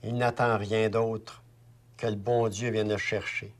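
A man with a Québécois accent reciting a poem deliberately, as if speaking directly to someone. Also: fairly low pitch, little pitch movement. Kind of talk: spoken poetry recital.

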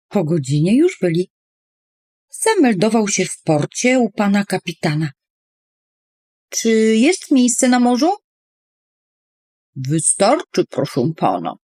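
A woman's voice: medium pitch, darkish tone, no room sound, studio quality. A woman storyteller reading a children's poem expressively, with playful, dramatic emphasis on words.

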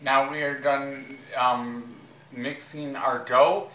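A young man explaining a kitchen process on camera, slowly and carefully with pauses. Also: medium pitch, fairly even intonation, sharp tone, soft background music. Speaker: dog treat team member. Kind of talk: on-camera demonstration. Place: kitchen, indoors. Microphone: far camera mic. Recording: echoey kitchen, distant mic.

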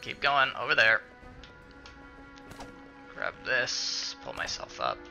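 A man's voice: medium pitch, big pitch swings, takes it slowly, creaky, pushed and bright. A man hosting a casual let's play, muttering, swearing and talking to the game's characters. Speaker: gaming video host. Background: game music.

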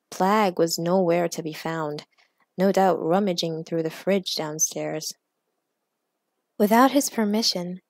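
A woman narrating fiction aloud in an expressive, measured, dramatic storytelling style. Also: lowish voice, no echo. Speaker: narrator and author of fan fiction.

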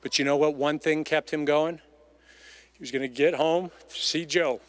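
A man's voice: a little high, steady tone, moderate pace. A middle-aged man giving a heartfelt memorial tribute, speaking emphatically and repeating phrases for weight. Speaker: eulogist. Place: outdoors in an empty baseball stadium. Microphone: microphone on a stand.

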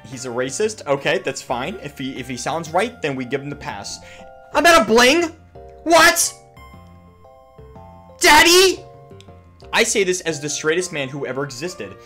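Young man, gaming host, casual reaction commentary, very animated pitch, jokey and exaggerated, faint music behind. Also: a little slow, pauses often. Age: young adult. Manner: exaggerated, jokey, crude slang. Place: small home room.

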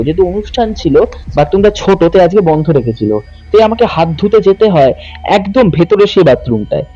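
A young man narrates a first-person ghost story in a suspenseful, conversational way, pausing for drama. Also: high-pitched, warm tone, dull audio. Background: background music.

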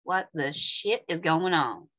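A woman acts out a plain-spoken small-town working woman at a table read. Her voice is bright and very animated, with an informal, folksy turn. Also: deep voice, slow pace, heavily acted. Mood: uneasy. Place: indoor room at home, on a video call.

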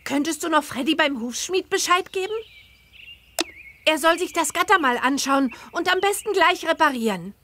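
A woman voicing a cheerful teenage girl witch in a children's game, speaking expressively and clearly. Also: high voice, animated, normal speed, a bit bright, a little hiss or hum. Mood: helpful, thoughtful. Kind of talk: scripted children's game dialogue.

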